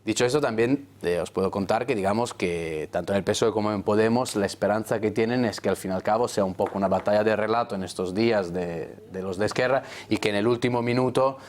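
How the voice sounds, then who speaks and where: A lowish-voiced man from Italy, speaking as a native Italian, offers analysis as a journalist on a studio panel. He talks in a measured, hedging way, with frequent fillers.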